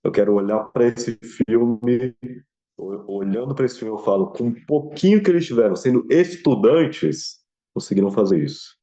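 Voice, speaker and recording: A man's voice: mid-range voice, lively intonation, moderate pace, mellow. A young man with a Brazilian accent giving earnest, informal advice in an interview, repeating phrases for emphasis. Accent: Brazilian Portuguese. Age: young adult. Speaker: student filmmaker interviewee. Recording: slightly dull, lo-fi sound.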